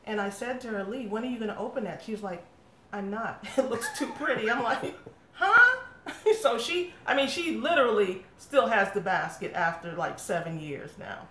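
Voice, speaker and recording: A woman's voice: fairly high pitch, lively intonation, moderate pace, sharp tone. Chatty woman, craft channel host, casual live chat, frequent laughs, echoey room, faint hum behind. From England. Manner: chatty, laughs often, restarts with fillers.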